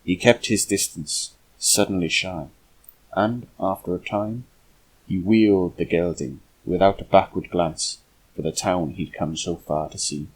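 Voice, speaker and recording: A man with a husky voice reading a historical novel aloud as scripted narration. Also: sits low, steady tone, a little slow, poor audio. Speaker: amateur audiobook reader.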